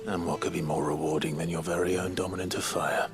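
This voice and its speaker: An actor playing an earnest, brooding young warrior in a dark fantasy game, serious, restrained and skeptical. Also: male voice, deep voice, flat delivery, fast talker, over loud music. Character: earnest, brooding young male warrior bent on his mission. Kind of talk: video game cutscene acting.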